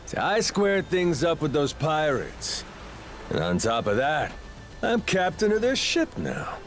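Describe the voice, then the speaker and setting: An actor playing a confident, easygoing and persuasive pirate captain in a video game, talking in a cocky, casual, unhurried way. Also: male voice, fairly high pitch, big pitch swings, a bit bright, soft background music, heavily acted. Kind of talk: dramatic video game voice acting.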